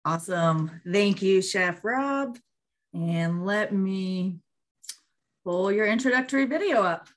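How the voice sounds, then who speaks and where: A woman's voice: mid-range voice, expressive, takes it slowly. A woman hosting an online group call, presenting in an informal, conversational webinar style. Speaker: host of an online monthly call.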